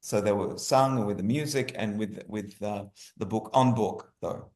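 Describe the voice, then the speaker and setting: A man's voice: lowish voice, a little slow, darkish tone. A man speaking as an interview guest, often repeating and rephrasing himself for emphasis. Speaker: guest, writer. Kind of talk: interview conversation. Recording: roomy, echoey sound.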